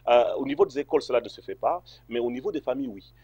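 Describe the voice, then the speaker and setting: A man's voice: medium pitch, very fast, dark tone, husky, no echo. A man from Congo answering interview questions, hesitant, with many fillers and restarts. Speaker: interview guest.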